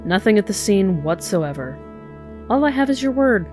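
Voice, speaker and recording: A woman's voice: medium pitch, very animated, moderate pace, a little mellow, soft background music, natural voice. A woman playing a curt, exasperated, hard-nosed police detective in an audio drama, blunt and biting. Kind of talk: dark superhero audio drama acting.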